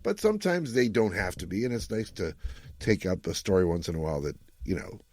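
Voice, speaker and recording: A man's voice: sits low, expressive, brisk, a little mellow, no echo. A man hosting a podcast in a casual, playful and informal way as he recaps and reviews a story. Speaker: podcast host.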